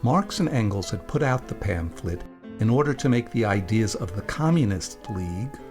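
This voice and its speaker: A man's voice: lowish voice, animated, moderate pace. Man narrating a script over music. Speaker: radio presenter and reader. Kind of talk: scripted narration introducing a dramatic reading.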